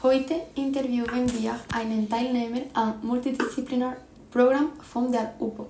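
A woman's voice: on the high side, steady tone, moderate pace, warm tone. A young woman, a student podcast host, reading a scripted intro and stumbling over a word. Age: young adult. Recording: roomy with faint noise.

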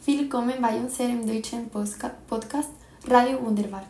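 A woman's voice: a little high, normal speed, darkish tone. A young woman, a student podcast host, reading a scripted intro and stumbling over a word. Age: young adult. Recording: roomy with faint noise.

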